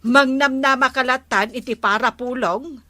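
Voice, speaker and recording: An actress playing a sharp, questioning village woman in a comedic radio drama, probing and challenging. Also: high-pitched, moderate pace, no room sound.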